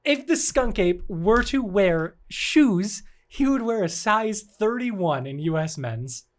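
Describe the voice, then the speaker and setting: A man's voice: high-pitched, animated, takes it slowly. A man telling a cryptid story on a casual comedy podcast, teasing his co-hosts and laughing often.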